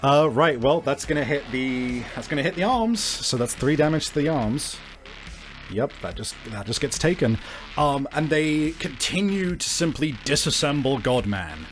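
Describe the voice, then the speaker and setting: A man's voice: a little high, expressive, normal speed. A man improvising narration as a game master, descriptive and often searching for his words. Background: faint music.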